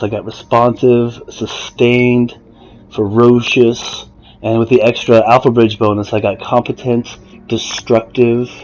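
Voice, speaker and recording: A man giving a casual, explanatory game walkthrough in a measured, somewhat halting way. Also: medium pitch, a little mellow, low-quality recording. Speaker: gamer presenting a character build guide. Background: music.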